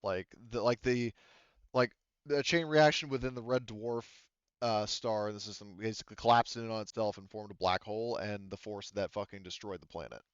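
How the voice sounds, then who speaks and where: A man improvising narration as game master in a conversational way, halting as he searches for words and casually profane. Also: lowish voice, steady tone, clear, resonant tone, no echo, dull audio. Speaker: game master of a tabletop roleplaying game.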